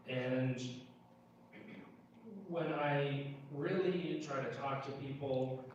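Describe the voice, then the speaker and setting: A man gives informal remarks as a candidate at a town hall. His manner is measured and conversational. The room echoes heavily around him, and he sits away from the mic with faint noise behind. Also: mid-range voice, far from the mic.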